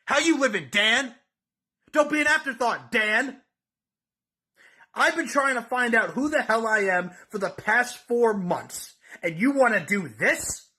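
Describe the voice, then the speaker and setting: A man's voice: extremely high pitch, expressive, measured pace, bright, forceful tone. A man cutting a boastful, taunting wrestling-style promo for a podcast contest. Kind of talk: wrestling trash-talk promo.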